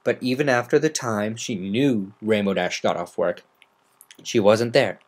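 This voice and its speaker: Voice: medium pitch, measured pace. An amateur narrator giving a semi-dramatic reading of a scripted story, occasionally stumbling over words.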